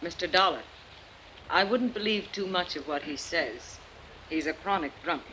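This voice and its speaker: A woman's voice: on the low side, moderate pace, pushed and bright. A woman playing a deferential yet disapproving attendant in an old radio drama. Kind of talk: 1950s radio drama acting. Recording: noisy vintage radio recording.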